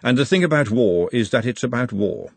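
A man acts out an urbane male tyrant from a fantasy storybook. He is formal, measured and dryly understated, with calculation under every phrase. The recording is dry and close. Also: mid-range voice, expressive, vocal fry, slightly rough, dry recording. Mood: wry, unruffled. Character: urbane, wry, calculating male city ruler.

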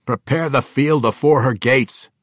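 A man voicing a gruff, blustering dwarf king from a fantasy audiobook, battle-hungry and growling, with a rustic dialect. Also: medium pitch, measured pace, dry recording, clean studio sound, pitched above the performer's own voice, natural voice. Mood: commanding.

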